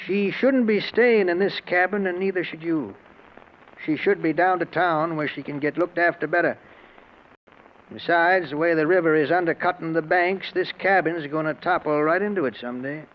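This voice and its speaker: Actor as a higher-voiced folksy country doctor. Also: male voice, moderate pace, close to an everyday voice. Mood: advising. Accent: American. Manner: folksy, matter-of-fact. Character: kindly, practical country doctor advising a family. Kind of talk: old-time radio drama acting. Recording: muffled old radio recording with hiss.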